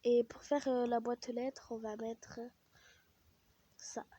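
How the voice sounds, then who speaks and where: Stuffy-nosed French woman, slightly high voice. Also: flat delivery, takes it slowly, warm tone, breathy edge, lo-fi sound. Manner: chatty, easily annoyed, muttering asides. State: stuffy nose from a cold, sniffling. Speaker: gaming vlogger. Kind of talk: casual game commentary.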